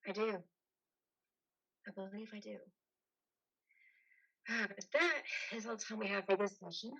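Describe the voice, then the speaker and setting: An actress playing a calm, probing therapist in a remote play reading, speaking in measured, formal phrases. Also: female voice, mid-range voice, husky, dull audio.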